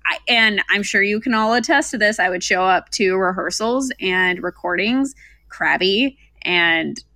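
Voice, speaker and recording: A woman with a bright, forward voice at a medium pitch, recorded dry. She tells her story casually and with some self-deprecation, like an actor on a discussion panel. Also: a little slow, no echo.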